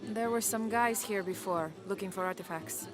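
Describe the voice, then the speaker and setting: A woman acts a young adventurer heroine in a game cutscene. Her medium-pitched voice is terse and resolute, with clear music underneath. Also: moderate pace, lightly acted. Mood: guarded. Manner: terse, commanding. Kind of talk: video game cutscene acting. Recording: music behind the voice.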